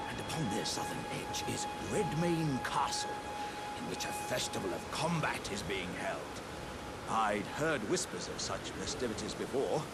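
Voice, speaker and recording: Grandiose, hearty warrior jar, swooping pitch. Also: male voice, heavy background noise. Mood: proud, buoyant. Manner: theatrical, courteous, effusive, old-fashioned phrasing. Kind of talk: video game character dialogue. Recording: loud music behind the voice.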